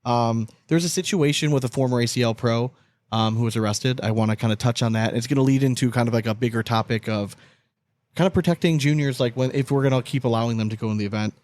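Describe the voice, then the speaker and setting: A man's voice: mid-range voice, fast, a little mellow, no echo, studio quality. An American man co-hosting a casual sports podcast, chatting informally with loose, profane banter. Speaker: podcast co-host.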